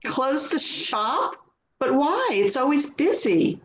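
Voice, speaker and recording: A woman's voice: high voice, big pitch swings, slow, a little hiss or hum, heavily acted. A woman playing an anxious, hurt grown daughter in a dramatic play reading. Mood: dismayed.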